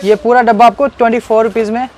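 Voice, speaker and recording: A man's voice: extremely high pitch, level tone, quick pace, a little mellow. A man with a Delhi Hindi accent hosting a market vlog, repeating each price to his viewers in a small crowded wholesale shop. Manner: repeats prices to viewers, addresses them as guys. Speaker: market vlogger. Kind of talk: casual market tour commentary.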